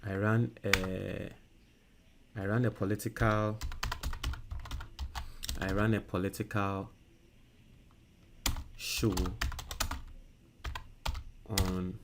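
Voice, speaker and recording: A man's voice: lowish voice, little pitch movement, background noise. Emphatic Ghanaian man hosting a show. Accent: Ghanaian English. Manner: loud and emphatic when reading comments, quiet and halting while typing. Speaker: social media political show host. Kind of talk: reading out viewer comments and dictating an email.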